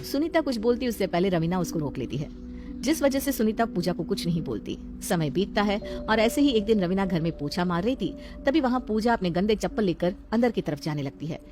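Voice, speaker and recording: Casting: female storyteller, medium pitch, scripted bedtime-tale narration, clear music bed. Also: expressive, a little fast.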